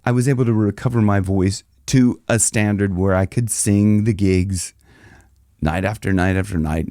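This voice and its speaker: A man's voice: on the low side, mellow, dry recording, studio quality. A man who is a rock singer and vocal coach, talking casually to the camera with a loose, rambling delivery full of restarts. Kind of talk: casual direct-to-camera advice.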